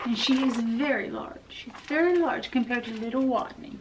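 A woman's voice: on the high side, normal speed, background noise. A middle-aged woman reading a children's picture book aloud expressively, as a library storyteller. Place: indoor room with full bookshelves.

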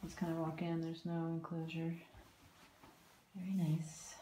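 A woman giving a casual walking tour of a house and its backyard, filmed on a handheld phone, with chatty remarks that trail off into soft asides. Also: deep voice, completely flat delivery, unhurried, slight background noise, low-quality recording. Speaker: home tour vlogger. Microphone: phone camera held while walking.

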